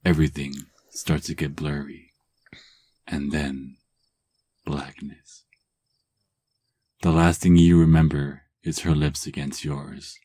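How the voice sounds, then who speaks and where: A man narrating a second-person romance story in a calm ASMR style, drifting at times into hushed whispers. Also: extremely low pitch, slow pace, long pauses.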